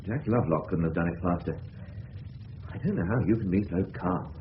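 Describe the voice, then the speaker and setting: Deep, hearty English father. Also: expressive, brisk. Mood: concerned, bluff. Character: hearty, affectionate middle-aged English father. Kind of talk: 1970s radio play acting. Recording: tinny, hissy old radio broadcast.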